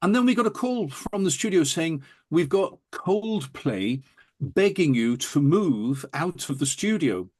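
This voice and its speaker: A man's voice: on the high side, sing-song, unhurried, breathy edge. A man talking enthusiastically and telling anecdotes as an interview guest. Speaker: interview guest, audiophile recording producer. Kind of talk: animated anecdotal interview talk.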